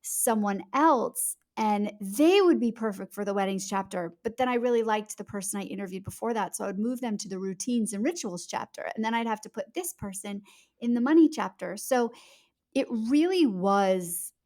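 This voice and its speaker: Female author, podcast guest. Mid-pitched, conversational, emphatic and explanatory, phrasing things deliberately. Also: animated, darkish tone. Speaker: author being interviewed.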